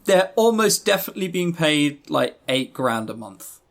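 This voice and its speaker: A man's voice: fairly high pitch, sing-song, normal speed, vocal fry, fairly bright tone. A man co-hosting a podcast, chatting casually and giving opinionated reviews with sarcastic, joking exasperation. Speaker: podcast co-host reviewing visual novels.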